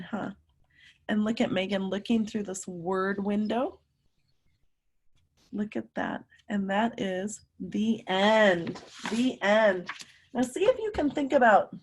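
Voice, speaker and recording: A woman teacher reading a picture book aloud to children, pausing to ask them simple, encouraging questions. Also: mid-range voice, a little hiss or hum.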